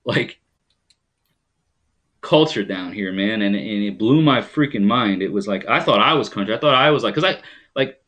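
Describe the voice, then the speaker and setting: A man's voice: on the low side, animated, moderate pace, long pauses, a bit bright. A man from rural New York hosting a live stream, chatting casually and rambling with frequent ums as he reads viewers' comments. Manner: greets viewers and reads their comments aloud.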